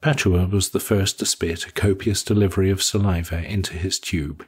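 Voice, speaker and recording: Very raspy, low-voiced male narrator. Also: fairly even intonation, moderate pace. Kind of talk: scripted audiobook narration.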